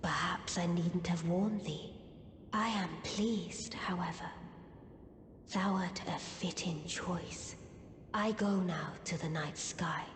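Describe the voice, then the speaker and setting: An otherworldly, quietly proud witch from a fantasy game. Her soft, slightly low voice moves slowly and formally through a long, echoing reverb. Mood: serene, solemn. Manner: measured, formal, archaic. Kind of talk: theatrical fantasy game acting. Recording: echoing long reverb, faint ambient noise.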